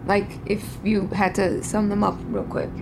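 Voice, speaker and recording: A chatty, impulsive woman outlaw in an audio drama. She has a medium-pitched, brash delivery with clear vehicle noise around her. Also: little pitch movement, unhurried. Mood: flippant, flirty. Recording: noisy car and roadside scene.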